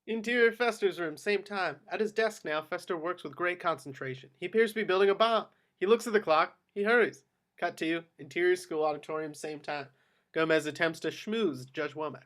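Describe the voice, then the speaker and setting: A man's voice: high-pitched, brisk, a bit bright. A man narrating scene headings and stage directions for a script table read.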